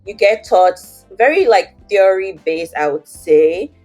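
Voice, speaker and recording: A woman's voice: mid-range voice, animated, unhurried, a little mellow, soft background music, rough recording. A young woman with a Nigerian accent, telling her story as an interview guest in a chatty way, with many fillers. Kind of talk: casual interview, personal story.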